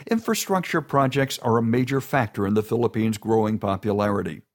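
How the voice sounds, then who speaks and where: A man giving a steady, informative scripted narration. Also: fairly low pitch, brisk.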